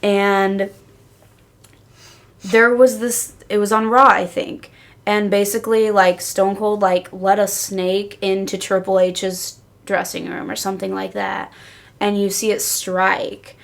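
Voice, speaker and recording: A woman's voice: medium pitch, little pitch movement, slow, creaky. A woman co-hosting a casual podcast, telling stories in informal, slangy language. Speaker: podcast co-host.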